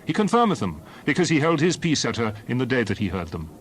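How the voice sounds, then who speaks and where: A man's voice: mid-range voice, very animated, fast talker, a little hiss or hum, natural voice. A man playing Moses as a stern, authoritative lawgiver, declaiming emphatically in a dramatized scripture reading. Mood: solemn instruction.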